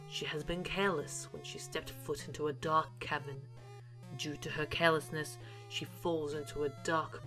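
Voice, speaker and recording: Female narrator for audio series, low voice, formal storytelling read, light music underneath. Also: a bit bright, low-quality recording. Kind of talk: scripted narration.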